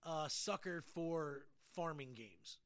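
A man's voice: on the high side, lively intonation, slow, fairly bright tone. A middle-aged man chatting casually and enthusiastically about video games as a podcast host, with slangy, talkative phrasing.

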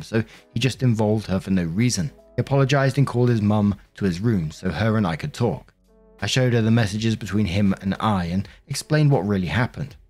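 A man's voice: fairly low pitch, a little mellow. A man reading online stories aloud and adding chatty asides as narrator. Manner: conversational, with frequent asides.

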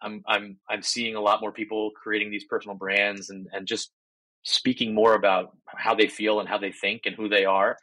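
A man with a fairly low but bright voice speaks as a podcast guest. He talks in a loose, self-deprecating way, full of ums and restarts. The sound is dry and close. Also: level tone, normal speed, no room sound, poor audio. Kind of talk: casual interview talk.

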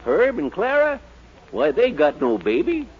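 A man's voice: high voice, wildly swooping pitch, a little slow, husky, exaggerated voice. An actor playing a folksy, gossipy small-town hotel man, exclaiming in 1940s radio comedy style. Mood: folksy, surprised. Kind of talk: 1940s radio sitcom acting. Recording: muffled, noisy 1940s radio recording.

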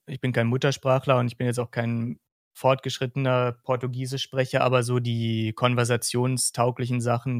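A man's voice: mid-range voice, no echo, clean studio sound. A man from Hesse in Germany chats casually as a podcast host, explaining things in a rambling way.

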